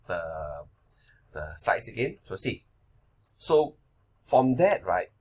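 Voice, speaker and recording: A man's voice: medium pitch, slightly rough. A man of forty giving a casual slide-based lesson on investing, talking loosely and checking in with "right?" and "okay?". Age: 40s. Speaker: finance presenter.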